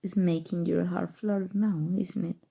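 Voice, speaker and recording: A woman playing a possessive evil sorceress in an ASMR roleplay, speaking softly and tenderly with a breathy voice that often drops to whispers. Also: on the low side, steady tone, heavily acted. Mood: soothing, seductive. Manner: slow, soothing, deliberate, sinister affection. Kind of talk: ASMR roleplay, intimate scripted monologue.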